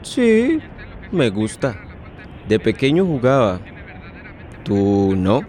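A man's voice: medium pitch, huge pitch swings, very slow, a little mellow, slight background noise, heavily acted. A man playing a weary detention guard in radio drama dialogue. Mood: casual.

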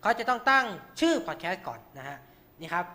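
A man's voice: high-pitched, big pitch swings, quick pace, bright, forceful tone. A man hosting an informal tutorial, talking through each step with frequent polite particles. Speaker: radio show host.

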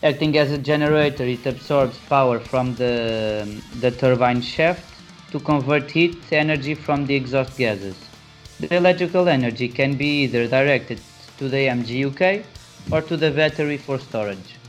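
A man's voice: mid-range voice, normal speed, faint music behind. A man with a Portuguese accent, a native Portuguese speaker, carefully reading a prepared technical explanation aloud with small hesitations.

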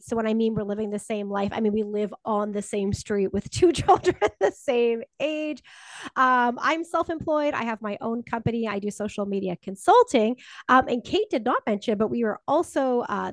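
Canadian woman, thirties, higher voice, dry close sound, quick laughing chat. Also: dry recording. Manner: quick, laughing, full of fillers. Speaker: podcast co-host guest. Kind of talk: casual interview chat.

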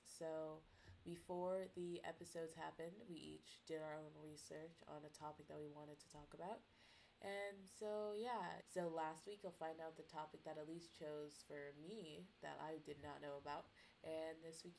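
A woman's voice: lowish voice, normal speed, a little mellow, a little hiss or hum, poor audio. A woman with a cold and a stuffed-up nose giving a rambling, apologetic podcast intro. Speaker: podcast host. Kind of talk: casual recorded intro.

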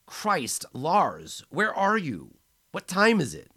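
A frantic young woman, as a male narrator puts her on, talking high and loud with urgent, rapid-fire questions. Her voice climbs well above his own low register. It suits a storybook reading. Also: wildly swooping pitch, breathy edge, full character voice. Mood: alarmed.

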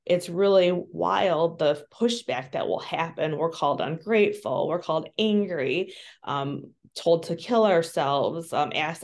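A woman with a low voice gives an earnest, candid interview. She talks frankly about hard subjects, often pausing with "um" and restarting her sentences. She sounds like she is on a remote call. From Colombia. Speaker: interview guest, social worker.

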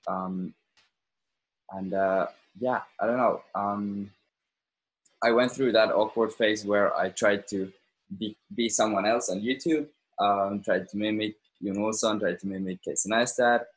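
A man's voice: on the low side, rough recording. An eighteen-year-old man from Finland, a podcast guest talking casually with lots of ums and hesitations. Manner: relaxed, many ums and hesitations. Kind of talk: casual interview chat.

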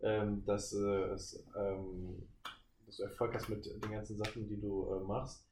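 A man co-hosting a casual podcast, speaking in a halting way. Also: lowish voice, flat delivery. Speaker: podcast co-host. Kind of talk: casual chat. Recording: echoey room, clearly noisy.

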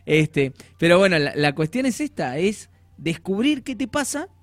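An Argentine man with a fairly high voice speaks as a coach. He explains things in an informal, conversational way, often voicing imagined remarks. The sound is very dry, without room echo. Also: sing-song, moderate pace. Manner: informal, uses slang and acts out imagined quotes. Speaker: life coach, guest.

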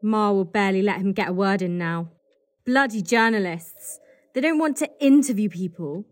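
A woman's voice: medium pitch, normal speed, natural voice. A British woman playing a cynical, irritable political staffer in an audio comedy, blunt and scathing. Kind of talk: audio comedy drama acting.

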